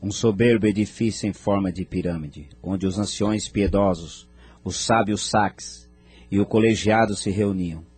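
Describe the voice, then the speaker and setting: Dignified alien nobleman for audio drama, played by an actor: deep male, heavily breathy-rough, courteous and measured. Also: darkish tone, soft background music. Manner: measured, formal, explanatory. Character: noble male elder of an advanced alien people, courteous, wise.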